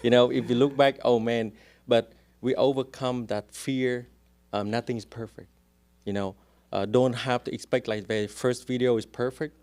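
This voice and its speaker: A man's voice: medium pitch, steady tone, moderate pace, darkish tone. A man with a strong Vietnamese accent, a real estate agent speaking as a guest in a casual interview, explaining things earnestly and step by step.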